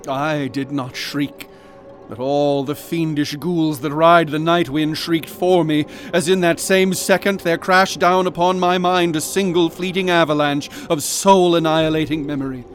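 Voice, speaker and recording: A man's voice: on the high side, fairly even intonation, faint music behind, natural voice. A man narrating as a haunted soul in dread. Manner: ornate, slow, dread-laden. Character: haunted man narrating his own horror. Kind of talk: dramatic horror narration.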